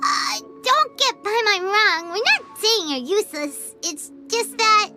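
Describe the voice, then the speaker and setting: A tiny fairy sidekick for a video game, extremely high and childlike. She chirps eagerly and swings widely in pitch. Loud music plays underneath. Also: very animated, measured pace, exaggerated voice. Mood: concerned. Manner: chirpy, talks of self in third person. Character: small excitable childlike fairy companion girl.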